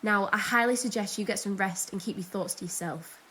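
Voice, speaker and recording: A firm military figure, played by a woman in radio drama. The delivery is stern, dutiful and clipped at a middling pitch. Faint hiss runs behind it. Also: brisk, bright, forceful tone, natural voice.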